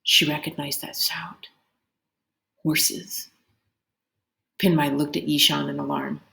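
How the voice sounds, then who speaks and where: A woman reading a children's novel aloud in expressive, dramatic storybook narration. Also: low-pitched, slow, gravelly. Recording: roomy, echoing indoor sound.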